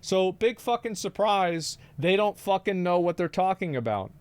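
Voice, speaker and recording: A man rambles through his reactions on a live stream, swearing freely and stumbling over repeated words. His voice sits a bit high. The sound is dry and close. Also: animated, moderate pace, clean tone, no room sound. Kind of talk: casual live-stream commentary.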